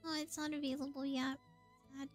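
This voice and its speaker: A woman's voice: high voice, level tone, a little fast, soft background music. A woman livestreamer giving casual, chatty gameplay commentary, thinking aloud as she plays.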